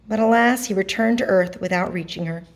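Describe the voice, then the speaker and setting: A woman reading a picture book aloud to children, narrating expressively with dramatic pauses and emphasis. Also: mid-range voice. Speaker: storybook reader.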